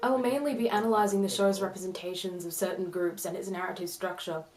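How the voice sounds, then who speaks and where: A woman's voice: fairly low pitch, steady tone, quick pace, a crowd in the background. A young woman presenting a scripted analysis as a student narrator. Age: young adult. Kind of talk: scripted video essay narration.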